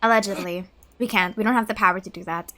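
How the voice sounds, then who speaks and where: A woman's voice: mid-range voice, fairly even intonation, quick pace, a bit bright. A woman gaming streamer giving casual, playful and mock-dramatic commentary over gameplay.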